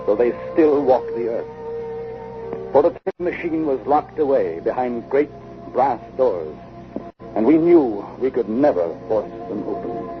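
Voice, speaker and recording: An actor playing a grave, fearful adventurer and narrator in a golden age radio drama, dramatic and heavy with dread. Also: male voice, medium pitch, huge pitch swings, unhurried, music in the background. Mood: grave, haunted. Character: fearful, grave male friend narrating a time-travel ordeal. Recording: dull vintage radio recording.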